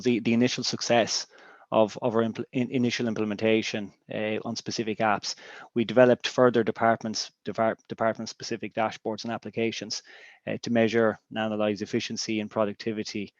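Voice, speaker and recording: Irish man, native Irish speaker, low voice, hesitant webinar presenter, dry and dull audio. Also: little pitch movement, fairly quick, no echo. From Ireland. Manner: hesitant, many ums and restarts. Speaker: business intelligence director.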